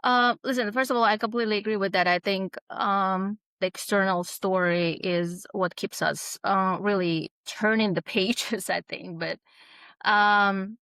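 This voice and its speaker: A woman's voice: mid-range voice, a bit bright, dry recording. A woman author answering interview questions reflectively, often checking in with short tag questions. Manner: reflective, frequent tag questions like "right?" and "you know?". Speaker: author, podcast guest.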